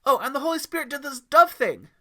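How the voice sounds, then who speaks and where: A man's voice: very high voice, animated, quick pace, bright, forceful tone, pitched above the performer's own voice, heavily acted. A man voicing an eager, blunt young boy in an audiobook campfire story, cheeky and blurting. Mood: excited, impatient.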